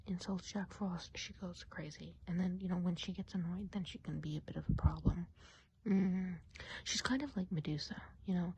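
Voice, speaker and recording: A woman's voice: on the low side, mellow, husky. Whispering, gossipy woman in ASMR witch roleplay. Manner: whispered, rambling, confiding, with casual filler-laden asides. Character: friendly, gossipy witch of the enchanted woods.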